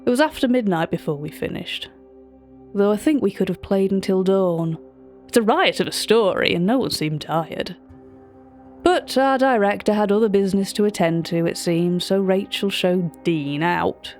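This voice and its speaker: An actress playing a chatty, wry British gallery writer, telling a story directly to a listener in audio drama narration. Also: female voice, mid-range voice, normal speed, a little mellow, faint music behind, close to an everyday voice.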